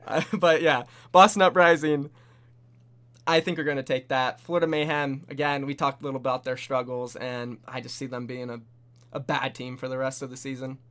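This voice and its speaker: A young man hosting a casual esports prediction chat, animated and full of bold, hype-driven takes. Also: mid-range voice, a little fast. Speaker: host.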